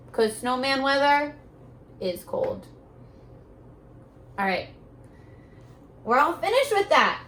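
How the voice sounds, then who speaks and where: A woman teacher leading a read-aloud lesson for young children, in an animated, questioning, sing-song way. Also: a little high. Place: classroom-style room. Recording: echoey room, distant mic.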